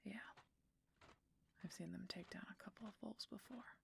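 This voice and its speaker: A woman's voice: mid-range voice, fairly even intonation, moderate pace, gravelly, background noise. A woman playing a guarded, brooding female werewolf knight in a fantasy audio roleplay, terse and often murmuring in a whisper. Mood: guarded, wry.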